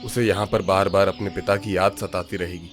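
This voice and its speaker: A man voicing a solemn village headman in a cartoon story, warning gravely. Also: deep voice, steady tone, fairly quick, over music, close to an everyday voice. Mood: weary.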